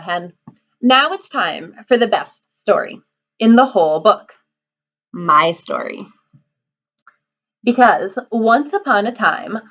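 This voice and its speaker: A woman's voice: mid-range voice, fairly bright tone, dull audio, exaggerated voice. A woman voicing a cocky, boastful young male trickster who talks in a cheeky, sarcastic and bossy way.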